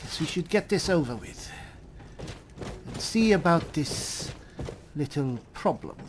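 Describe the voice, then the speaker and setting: A man voicing a wry high elf spellsword and reluctant leader in a video game roleplay, with dry, halting, deliberate delivery. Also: expressive, background noise. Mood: dry musing.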